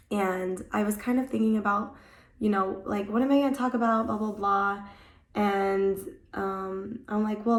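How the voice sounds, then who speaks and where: A young woman vlogging in a casual, rambling way, her voice hoarse from a cold and just coming back. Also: medium pitch, echoey room. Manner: chatty, rambling, many "like" fillers. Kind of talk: casual chat to camera. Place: at home.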